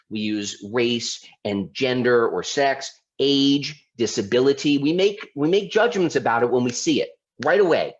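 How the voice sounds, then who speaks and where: A man from Baltimore giving an informal presentation as a lawyer, talking conversationally with restarts and rhetorical questions. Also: mid-range voice. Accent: Baltimore, mostly suppressed. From Baltimore, United States. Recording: slightly dull lo-fi audio.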